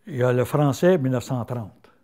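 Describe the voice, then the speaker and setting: An older man with a rural Quebec French accent, telling stories in a casual interview, folksy and halting, full of fillers and restarts. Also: medium pitch, warm tone. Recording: interview filmed on camera.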